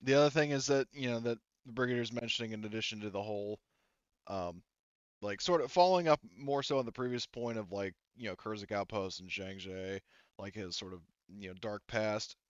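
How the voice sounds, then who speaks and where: A man narrating a tabletop roleplaying game as game master, with halting phrasing and frequent repeats. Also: fairly low pitch, smooth, no echo, dull audio.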